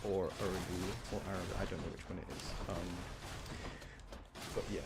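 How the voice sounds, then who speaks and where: A man's voice: low voice, level tone, moderate pace, noisy background. A man live streaming a video game, chatting casually with viewers as he commentates on his play. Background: music.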